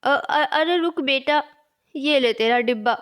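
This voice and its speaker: A woman's voice: sits high, measured pace, very noisy. A woman voicing an older mother-in-law in a Hindi cartoon story, with a motherly, reasoning manner. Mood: brisk, instructing.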